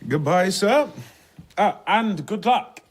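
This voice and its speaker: An actor playing an inquisitive, sensible gentleman, questioning in a husky, matter-of-fact voice in an audio drama. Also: sits high, expressive, slow, slight background noise. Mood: polite. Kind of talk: conversational audio drama dialogue.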